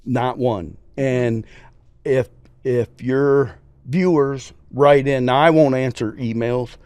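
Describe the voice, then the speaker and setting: A man's voice: mid-range voice, fairly even intonation, slow, a little mellow, smooth, no echo. A man, a preacher talking earnestly and emphatically as a podcast guest. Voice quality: scratchy voice. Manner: earnest, emphatic, folksy storytelling. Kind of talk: casual interview talk.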